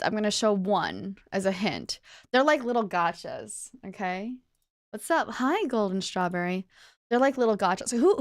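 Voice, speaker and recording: A woman's voice: medium pitch, no echo, studio quality. A woman livestreamer chatting casually with her viewers in a bubbly, chatty manner. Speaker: livestreamer, virtual streamer host.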